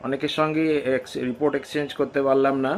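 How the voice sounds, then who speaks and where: A man's voice: medium pitch, level tone, very fast, a little hiss or hum. A man giving a casual amateur radio check-in over a shortwave link.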